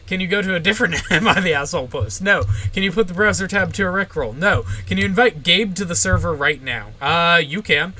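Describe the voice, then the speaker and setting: A young woman with a general American accent, streaming live and reading viewer questions aloud. She gives short, deadpan answers with plenty of "uh" and "like". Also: on the low side, brisk, few pauses. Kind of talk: casual livestream Q&A, answering chat.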